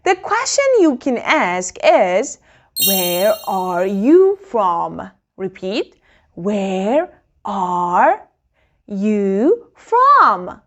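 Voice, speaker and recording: A woman teaching a beginner English lesson, modelling phrases very slowly and clearly for learners to repeat. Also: medium pitch, big pitch swings, a bit bright.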